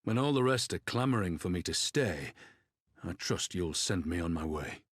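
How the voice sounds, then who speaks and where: An actor playing a battle-worn, loyal warrior general in a video game, speaking in a solemn, measured, formal way. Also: male voice, sits low, expressive, dry recording, studio quality. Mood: solemn, resolute. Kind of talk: dramatic video game cutscene acting.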